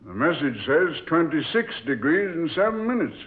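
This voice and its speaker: An actor playing a stern, commanding ship captain who gives gruff orders, in 1940s radio acting style. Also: male voice, on the high side, very animated, moderate pace. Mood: brooding. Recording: tinny old radio recording.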